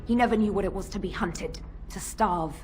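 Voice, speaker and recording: A woman's voice: medium pitch, fairly quick, natural voice. A woman playing a stern, loyal knight in a fantasy game, speaking in a formal, defiant way. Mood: firm justifying. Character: stern, loyal female knight defending her lord. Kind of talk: dramatic fantasy game dialogue. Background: loud music.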